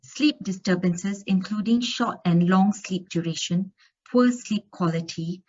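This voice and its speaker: A woman's voice: medium pitch, a little slow, darkish tone, lo-fi sound. A woman from Singapore giving an academic conference lecture, reading steadily through study findings with occasional fillers and restarts. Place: conference room.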